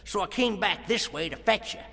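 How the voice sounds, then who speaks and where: A man's voice: high-pitched, a little fast, creaky, fairly bright tone, heavily acted. An actor playing a hearty, folksy western townsman and cheerful rescuer in a children's audio adventure. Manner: folksy, emphatic, jovial. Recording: cavernous reverb.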